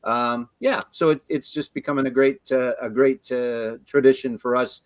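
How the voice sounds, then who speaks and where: A Canadian man hosting a show, chatting casually in a relaxed, rambling way. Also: medium pitch, normal speed, no echo. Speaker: show host. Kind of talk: casual chat.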